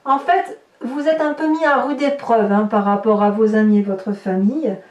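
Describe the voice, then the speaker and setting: A woman's voice: fairly high pitch, fairly even intonation, moderate pace, highs cut off. A woman giving an improvised tarot reading to the viewer, explaining and repeating phrases for emphasis. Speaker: tarot reader. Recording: roomy echo, distant from the mic.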